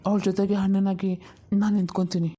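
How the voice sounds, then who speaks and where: An earnest younger brother acted by a woman in a cartoon family story. The voice is mid-pitched and very mellow, with an emphatic, pleading delivery full of compassion. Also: level tone, fairly quick, lightly acted. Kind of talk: dramatic cartoon story dialogue.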